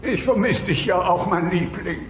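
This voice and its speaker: A man's voice: sits high, expressive, normal speed. A man playing an affectionate dinosaur husband speaking theatrically from the afterlife in an audio play. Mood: tender, admonishing. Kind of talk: German-dubbed sitcom audio play. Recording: echoey long reverb, music behind.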